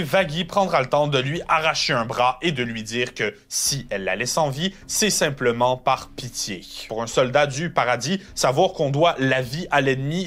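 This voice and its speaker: A man's voice: mid-range voice, fairly bright tone. Man narrating a scripted video essay. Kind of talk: scripted story recap and analysis narration.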